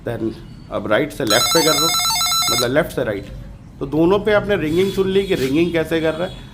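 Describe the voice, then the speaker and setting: A man with a fairly high-pitched voice narrates a hands-on DIY tutorial. He talks casually and colloquially, restating points for emphasis as a channel host would. Some room noise comes through in parts of the recording. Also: wildly swooping pitch, brisk. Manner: informal, colloquial, repeats himself for emphasis.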